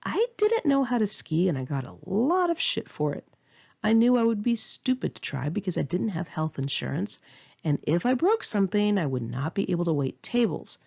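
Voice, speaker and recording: Bright-voiced woman, lively memoir reading. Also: medium pitch, normal speed, gravelly, slightly rough, dull audio. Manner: candid, informal, wry. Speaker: author reading own memoir aloud. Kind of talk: conversational memoir narration, read in one take.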